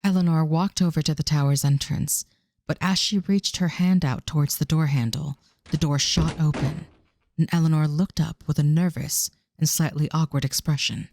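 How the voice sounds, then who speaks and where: A woman's voice: sits low, steady tone, normal speed, darkish tone, a little hiss or hum. A woman narrating a scripted audio drama as a storyteller.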